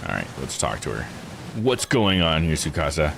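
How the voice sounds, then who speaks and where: A man's voice: a bass voice, big pitch swings, normal speed, gravelly, noisy background. A man giving casual live game commentary, joking, slangy and thinking aloud. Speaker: video game streamer.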